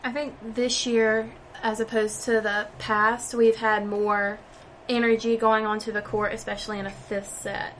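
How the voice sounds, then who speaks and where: A woman's voice: fairly high pitch, level tone, measured pace, a bit bright, a little hiss or hum, low-quality recording. A young woman from North Carolina, a college volleyball player giving plain, matter-of-fact interview answers. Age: young adult.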